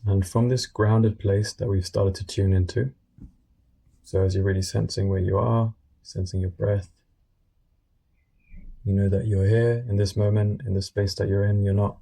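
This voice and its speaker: Low, husky man guiding a meditation. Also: level tone, warm tone. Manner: soothing, informal, pausing between short phrases. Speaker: meditation guide. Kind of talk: guided meditation, gentle unscripted talk.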